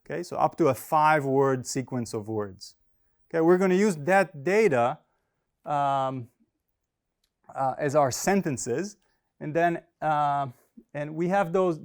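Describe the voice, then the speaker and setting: A man's voice: a little high, measured pace. A man, a native Hebrew speaker, presenting academic research in a casual, hesitant way with many fillers and dry humor. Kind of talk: academic talk with slides.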